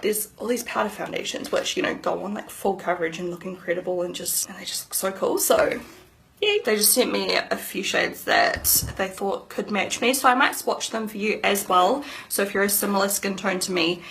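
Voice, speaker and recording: A woman's voice: medium pitch, moderate pace, vocal fry, a bit bright, slight background noise, low-quality recording. A woman in her thirties, a beauty vlogger chatting casually to camera in an upbeat, rambling way, slightly nasal from hay fever. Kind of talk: casual makeup review to camera.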